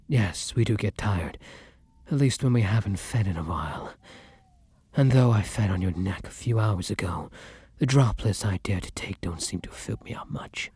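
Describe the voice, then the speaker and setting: A man playing a tender, weary vampire lover in an intimate ASMR romance roleplay, speaking gently and courteously in a breathy voice that often drops into whispers. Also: fairly low pitch, animated, moderate pace, full character voice. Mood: weary, gentle. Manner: gentle, courtly, earnest endearments.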